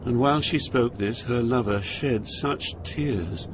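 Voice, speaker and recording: A man playing an awed, solemn poet recounting a journey through hell, deliberate, with dramatic pauses. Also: mid-range voice, noisy background, lightly acted. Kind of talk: dramatized scripted narration.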